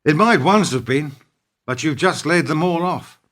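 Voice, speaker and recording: A man playing a principled but embittered business partner in a radio play. His voice sits fairly high for a man, and he needles with dry, measured sarcasm. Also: animated, fairly bright tone. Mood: sardonic, accusing.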